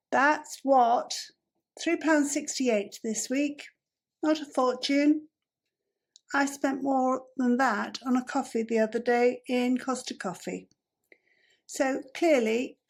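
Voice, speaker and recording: Woman in her 50s, slow rambly vlog chat, dry and self-mocking, bit husky. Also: high voice. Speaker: YouTuber. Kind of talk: casual vlog chat to camera.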